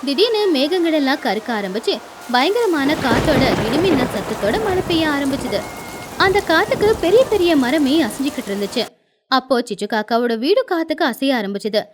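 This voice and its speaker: A woman narrating a scripted children's story as an expressive storyteller. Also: high voice, brisk, background noise.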